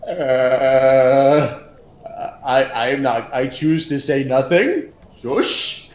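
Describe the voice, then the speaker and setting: Man speaking with lively pitch, echoey room. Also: drawn-out words, slight background noise. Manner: affectionate, teasing. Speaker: guest on stream. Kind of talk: casual chat. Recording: echoey room with faint music.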